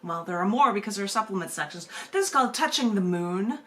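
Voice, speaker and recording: A woman's voice: mid-range voice, animated, fast talker, fairly bright tone. A woman hosting an open mic and reading her poetry aloud, moving between casual talk and narrative reading. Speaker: open mic host and poet. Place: video call open mic. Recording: noisy room sound.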